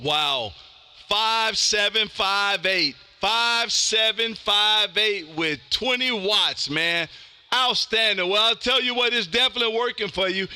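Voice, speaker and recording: An American man hosting a ham radio stream, talking casually in a loud, animated, slangy way. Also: high-pitched, measured pace, clear, resonant tone. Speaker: amateur radio operator hosting a live stream. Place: home radio room.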